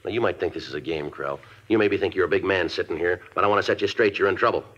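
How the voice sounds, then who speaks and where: An actor playing a police detective who narrates a case in clipped, matter-of-fact sentences, in a 1950s radio drama. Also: male voice, deep voice, fast talker, a bit bright. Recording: dull old radio broadcast.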